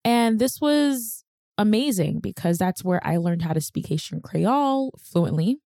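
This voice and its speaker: A woman's voice: mid-range voice, animated, unhurried, dark tone, no room sound. A young woman hosting a podcast, telling her own story in a candid, chatty, self-deprecating way on a close mic. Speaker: podcast host. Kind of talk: personal storytelling monologue.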